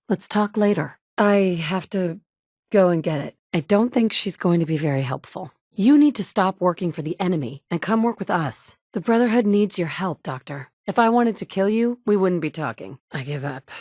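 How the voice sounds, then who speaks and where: A woman's voice: on the low side, lively intonation, moderate pace, darkish tone, no echo, clean studio sound. An actress voicing a tough, wry woman survivor in a video game, blunt and sarcastic. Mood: terse. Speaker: player character.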